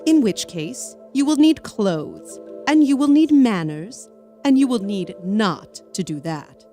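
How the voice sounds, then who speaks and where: Guy doing a stern proper lady voice, high and faraway, slow and scolding. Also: big pitch swings, full character voice. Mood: prim. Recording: soft music underneath.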